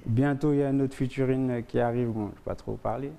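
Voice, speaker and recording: A man, a music artist, answering questions in a relaxed, hesitant way. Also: medium pitch, quick pace, creaky. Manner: relaxed, hesitant, searches for words. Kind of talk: casual interview answers.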